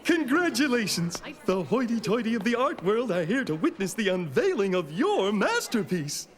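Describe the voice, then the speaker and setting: A snobbish, excitable cartoon gallery owner. The voice is very high and lively, pompous and theatrical in every line. Music plays behind it. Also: male voice, normal speed. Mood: grand, gushing.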